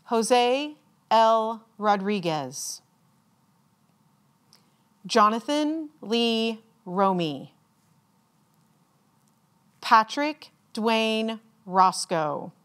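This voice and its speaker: A woman with a slightly high voice serves as a formal ceremony announcer. She reads names extremely slowly, enunciating each one deliberately and leaving measured pauses. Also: animated, a bit bright. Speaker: commencement announcer reading graduates' names.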